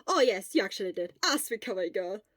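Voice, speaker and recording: A woman's voice: medium pitch, lively intonation, fast, a bit bright, no room sound, studio quality. A woman playing a cheerful, teasing young man, an exuberant best friend in an audio story.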